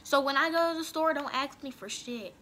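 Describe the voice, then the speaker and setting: Young female gamer, bossy and foul-mouthed, high and piercing. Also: brisk, sharp tone. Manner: bickering, bossy, swears a lot. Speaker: gaming vlogger. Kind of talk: casual gameplay commentary.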